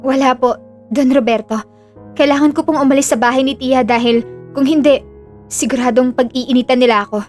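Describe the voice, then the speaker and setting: A woman's voice: high voice, steady tone, a little fast, darkish tone, lightly acted. A woman voicing a meek, grateful young woman in an audio drama, polite and earnest. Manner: deferential, earnest. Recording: music under the voice.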